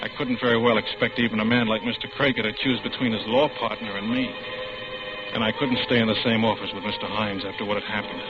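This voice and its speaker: A man's voice: on the low side, steady tone, fast talker, background noise, lightly acted. Muffled old radio, man playing anxious young clerk. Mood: grim, confessional. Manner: earnest, tense, confessional. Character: anxious young law-office clerk recounting his downfall. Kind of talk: 1950s radio drama acting and first-person narration. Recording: muffled vintage radio, loud music bed.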